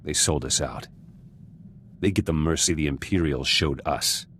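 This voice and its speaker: A man's voice: rumbling low, unhurried, a bit bright, slight background noise. An actor playing a vengeful, fiercely loyal male resistance fighter in a video game, with a clipped, intense, dramatic delivery. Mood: grim, vengeful. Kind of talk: scripted video game voice acting.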